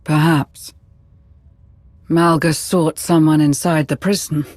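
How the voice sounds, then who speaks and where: A knowledgeable Sith adviser in a video game. She is composed and cautious, with formal, measured delivery. The sound is dry and close. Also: low voice, fairly even intonation, a little mellow, no echo. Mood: cautious, solemn. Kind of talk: video game cutscene dialogue.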